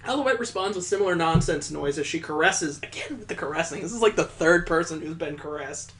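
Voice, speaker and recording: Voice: mid-range voice, expressive, a little fast, fairly bright tone, soft background music. Wry narrator reading a game aloud. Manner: wry, incredulous, interjecting. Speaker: let's-play host reading a visual novel aloud. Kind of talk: dramatic read-aloud narration with casual sarcastic asides.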